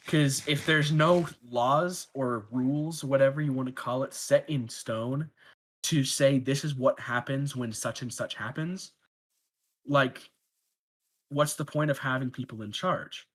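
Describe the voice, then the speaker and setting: A man argues his side in a casual roleplay debate, his voice at an ordinary middle pitch. He talks in long, looping sentences and leans on casual filler. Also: measured pace, a bit bright, a little hiss or hum. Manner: rambling, filler-heavy argument. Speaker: debate candidate.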